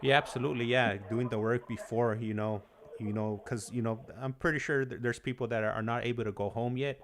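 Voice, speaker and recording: A man hosting a live call-in show in a casual, halting, agreeable way, often repeating himself. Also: mid-range voice, steady tone, background noise. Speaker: host of a livestream call-in show.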